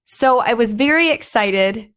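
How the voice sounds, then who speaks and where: Hoarse woman chatting on a podcast. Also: on the high side, lively intonation, measured pace, fairly bright tone. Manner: chatty, rambling, thinks aloud with restarts. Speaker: podcast host. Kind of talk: casual solo podcast talk.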